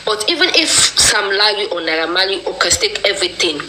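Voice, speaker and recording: A woman's voice: medium pitch, expressive, fairly quick, background noise. A woman with a Nigerian accent speaking passionately and accusingly in a casual online discussion, leaning on proverbs. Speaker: discussion participant.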